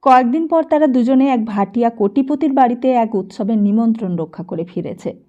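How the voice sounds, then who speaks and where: A woman narrates a literary audiobook story in a fairly high voice. Her tone is dark and relaxed rather than bright. The recording is slightly muffled and lo-fi. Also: fairly quick.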